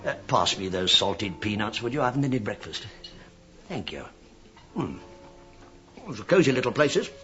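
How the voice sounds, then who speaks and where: An actor in a radio play playing a wry, nosy police inspector who talks with droll, mock-humble politeness. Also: male voice, fairly low pitch, very animated, moderate pace, pauses often, pushed and bright, muffled recording. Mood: mock-polite needling. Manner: droll, feigned politeness, sly understatement. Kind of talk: radio drama acting.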